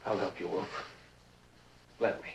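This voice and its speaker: A man's voice: low-pitched, fairly even intonation, a little slow, vocal fry, a little hiss or hum. An actor playing a loyal, steadfast man in a vintage television drama, speaking earnestly and insistently to an old friend. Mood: coaxing, nostalgic.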